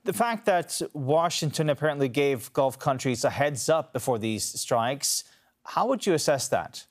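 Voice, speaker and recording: A man's voice: a little high, animated, a little slow. A man anchoring a news broadcast, asking formal, composed questions and reading links. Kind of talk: news interview and presenting.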